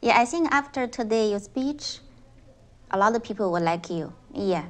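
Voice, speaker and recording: A woman's voice: medium pitch, animated, moderate pace. A woman hosting an interview who asks short questions and backs the guest with frequent murmurs. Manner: brief prompts, frequent "mm" backchannels.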